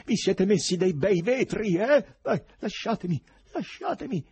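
Audiobook role, played by a male narrator: indignant male poet, high and hoarse-edged, agitated, stammering outbursts. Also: wildly swooping pitch, normal speed, heavily acted. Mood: struggling, enraged. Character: frantic, indignant male poet held in an asylum.

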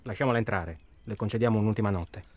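An actor playing a self-absorbed, stalling hunter in a naturalistic radio drama, rambling and irritable. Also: male voice, on the low side, quick pace, darkish tone, no room sound, close to an everyday voice. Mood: hesitant, self-justifying. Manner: hesitant, rambling, irritable, colloquial. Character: self-absorbed, stalling male tourist hunting human prey.

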